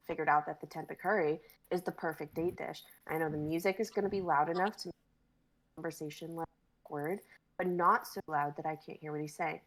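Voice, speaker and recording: A woman playing a self-conscious young dater, explaining herself casually in a script reading on a noisy livestream. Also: low-pitched. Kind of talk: dramatic reading of sketch script.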